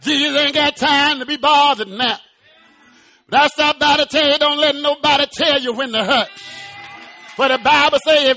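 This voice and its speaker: High-pitched preacher man shouting a sermon. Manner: shouted, rhythmic preaching with sung phrases; repetitive call-outs to the congregation. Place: church.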